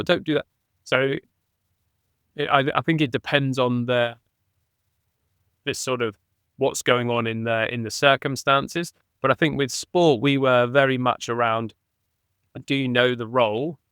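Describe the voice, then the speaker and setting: Smooth-voiced former rugby player man. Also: mid-range voice, dry recording, studio quality. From England. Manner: thinks aloud with restarts, animated on key points. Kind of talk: reflective conversational interview.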